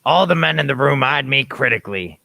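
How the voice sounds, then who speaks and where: A man playing an earnest young narrator recounting his adventure deliberately in a scripted radio play. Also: medium pitch, animated, creaky, over a noisy crowd. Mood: grave, recounting.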